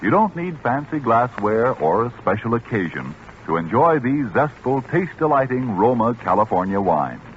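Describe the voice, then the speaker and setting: A man working as a commercial announcer, giving a polished, emphatic 1940s radio advertising pitch. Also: very animated, normal speed. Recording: dull, faintly noisy 1940s radio broadcast.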